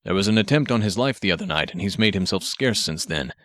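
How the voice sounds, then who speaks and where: A man's voice: low-pitched, animated, fast, a bit bright, dry recording, studio quality, pitched below the performer's own voice. A man voicing a rotund, worried, skeptical townsman who talks in a practical, doubtful way in an audiobook.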